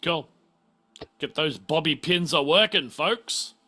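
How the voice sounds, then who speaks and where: A man's voice: on the high side, slow, sharp tone. A man running a tabletop role-playing game as game master, improvising narration and calling for rolls. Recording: music behind the voice.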